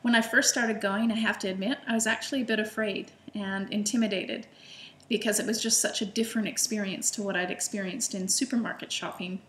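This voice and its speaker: A woman's voice: medium pitch, fairly even intonation, a little fast, a bit bright, a little hiss or hum. Chatty woman in a roomy space. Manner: chatty, enthusiastic, with frequent fillers and restarts. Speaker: illustrator and food vlogger. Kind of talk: casual personal storytelling to camera.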